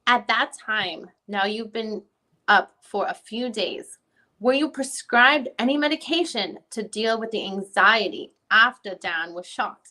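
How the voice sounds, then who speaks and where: A woman's voice: mid-range voice, animated, unhurried, pushed and bright, low-quality recording. A woman reading the part of a probing lawyer questioning a witness at a deposition. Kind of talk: dramatic reading of legal questioning.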